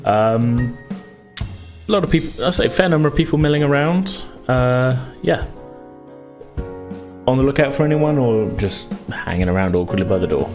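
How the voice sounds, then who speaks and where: A man's voice: mid-range voice, animated, normal speed, music in the background. A man acting as game master, casually improvising narration in a loose, conversational, hedging way.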